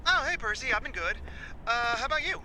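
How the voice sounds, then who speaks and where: Man to play a friendly everyman boyfriend for an audio play, high voice, a bit awkward and hesitant. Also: animated, fairly quick, noisy background. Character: ordinary friendly young guy, slightly awkward boyfriend. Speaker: writer, director and actor of the audio play. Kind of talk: indie audio drama acting.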